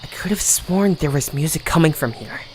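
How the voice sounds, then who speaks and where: Woman as brash, animated little creature. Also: low-pitched, moderate pace, noisy background. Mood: puzzled. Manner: scoffing, swaggering. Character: brash, cocky, dismissive little forest creature.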